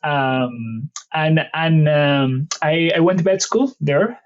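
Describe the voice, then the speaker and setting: A man's voice: a little high, takes it slowly. A man from Argentina in his late thirties, a native Spanish speaker with an Argentine accent, answering interview questions in a hesitant, conversational way with many fillers and restarts. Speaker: veterinary research professor, podcast guest. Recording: slightly dull lo-fi audio.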